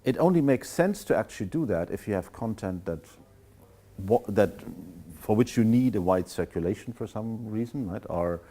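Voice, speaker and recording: A man with a low, mellow voice fields questions after his lecture. He talks thoughtfully and pauses to find the right words. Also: animated. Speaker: guest speaker.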